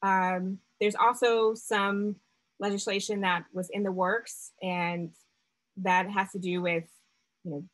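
A woman startup founder presenting a seminar talk over slides, measured and full of fillers and restarts. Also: mid-range voice, flat delivery, rough recording.

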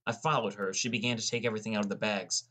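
A man's voice: on the low side, steady tone, racing, sharp tone. A man playing a sullen, defensive teenage boy who narrates his own story in a scripted audiobook. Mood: narrating.

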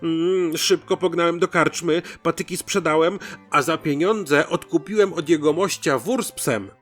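A man's voice: on the high side, normal speed, a bit bright, soft background music, pitched above the performer's own voice. A man voicing a humble, naive peasant in a folk tale, rambling hesitantly in folksy speech with little hums. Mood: earnest, rueful. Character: humble, naive young peasant man pleading his story.

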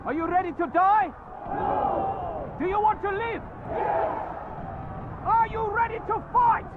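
A desperate young man in a game drama shouts at an extremely high pitch to rally people. The sound is muffled and tinny, with a noisy crowd around him. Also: unhurried. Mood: desperate, rousing. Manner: shouting, impassioned.

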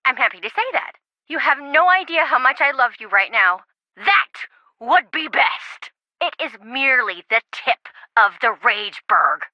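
A woman voicing a quirky, sarcastic, excitable young reality-show contestant in a video game, with playful theatrical quips. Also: high-pitched, a little slow, no echo, highs cut off. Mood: animated, quippy.

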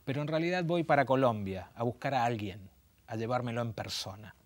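A man voicing a smooth, boastful male devil in a spoken story, with an Argentine Spanish accent and a low, unhurried delivery. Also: close to an everyday voice.

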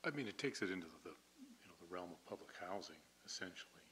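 Male meeting participant, low voice, conversational, slightly humming room recording. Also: expressive, fast talker, a bit bright. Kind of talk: casual meeting discussion. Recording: faint hum on meeting audio.

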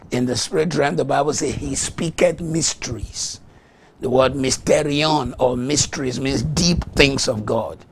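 A middle-aged male pastor whose first language is Yoruba delivers a fervent studio sermon on a lapel mic. His voice is gravelly and a little husky, and he switches between teaching and rapid, rhythmic streams of tongues. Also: medium pitch. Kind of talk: televised morning devotional sermon with tongues. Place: TV studio set.

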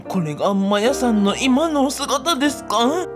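A woman's voice: on the high side, lively intonation, unhurried. A woman playing a fussy, vain, self-pitying young man with polite, quirky speech in a comic radio drama. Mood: dismayed. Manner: polite, whiny, quirky sentence endings. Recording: music playing behind the voice.

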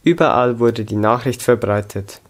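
A sixteen-year-old young man from Switzerland, reading a scripted story aloud in a careful, measured manner. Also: medium pitch. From Zug, Switzerland. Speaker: student reading own story. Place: small indoor room against a plain wall.

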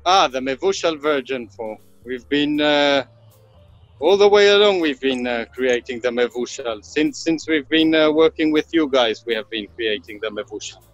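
A man in his thirties from Israel, a winemaker explaining his work informally, pausing and repeating words as he goes. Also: mid-range voice, animated. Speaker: winemaker, guest. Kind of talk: informal virtual tour explanation. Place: underground stone wine cave. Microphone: phone or laptop at arm's length. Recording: dull lo-fi video-call audio, music behind.

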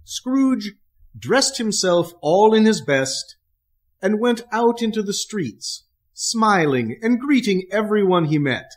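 A man narrates a scripted radio drama. His high voice moves slowly and swings widely in pitch, telling the story with theatrical delivery. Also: sing-song.

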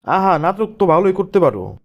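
A man's voice: high voice, sing-song, brisk, lo-fi sound. A man voicing a stingy, domineering husband in a cartoon family drama, speaking with sarcastic, dismissive scorn. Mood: scornful, domineering.

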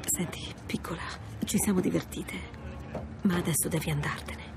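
A woman's voice: on the low side, normal speed, music in the background, lightly acted. A woman playing a wry, worldly adventurer in a dubbed video game, with a dry, offhand manner. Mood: firm. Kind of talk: cinematic video game dubbing. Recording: loud street and vehicle noise.

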